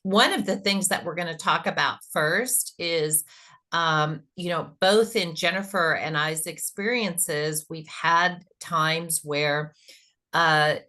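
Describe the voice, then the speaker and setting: A woman's voice: low voice, slow, bright, forceful tone. A woman with a Texas accent, speaking conversationally as a panelist on a webinar call. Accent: Texan. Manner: friendly, conversational, with fillers. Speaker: webinar panelist, content strategist.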